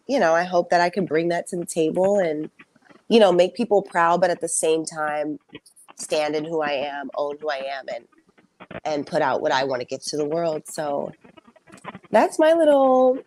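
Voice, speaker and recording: A young woman with a notably low voice speaks softly and reflectively, pausing often with fillers as she recounts her path in music. A faint hiss of noise sits under the recording. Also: rough recording. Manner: soft-spoken, reflective, many fillers. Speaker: singer and songwriter guest. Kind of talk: casual interview storytelling.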